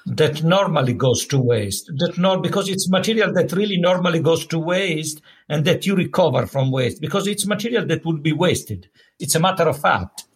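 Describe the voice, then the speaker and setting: A man's voice: a little high, expressive, normal speed, breathy edge. A man speaking as an interviewer, conversational, repeating and rephrasing himself for emphasis.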